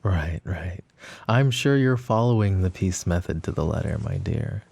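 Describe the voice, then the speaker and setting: A man voicing a smug, flirtatious mafia boss who teases and taunts the woman questioning him, in soft-spoken roleplay ASMR. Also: low-pitched, animated, moderate pace, vocal fry, husky, full character voice. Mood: smug teasing. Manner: teasing, taunting, calls her pet names.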